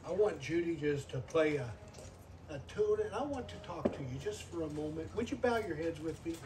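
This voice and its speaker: High-pitched older man preaching, distant sound. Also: animated, a little fast, background noise. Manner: earnest, repeats phrases for emphasis, calls for amens. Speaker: guest preacher. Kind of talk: sermon with altar call.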